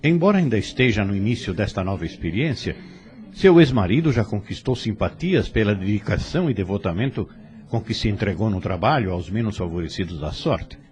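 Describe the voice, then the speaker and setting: Man playing a deep-voiced, expressive spirit guide. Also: brisk, lightly acted. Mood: calm, instructive. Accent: Brazilian. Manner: formal, didactic, consoling. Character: serene fatherly male spirit instructor, gently guiding. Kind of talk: audio drama acting.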